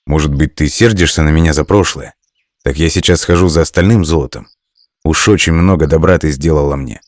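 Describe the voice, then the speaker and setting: A man playing a deep-voiced poor woodcutter. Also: fairly quick, no room sound, clean studio sound. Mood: grateful, apologetic. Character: poor male woodcutter, humble and rueful.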